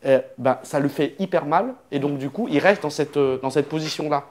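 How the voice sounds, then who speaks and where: A man's voice: fairly high pitch, fairly quick, darkish tone. A young adult man, a comedian guest, talking in a casual conversation and weighing his words carefully.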